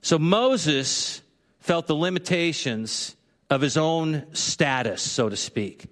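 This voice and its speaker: A man's voice: fairly high pitch, animated, slow pace, fairly bright tone. A man preaching a conversational sermon to a congregation, now and then acting out the voices of figures he quotes. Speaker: pastor. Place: church sanctuary. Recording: long hall reverb.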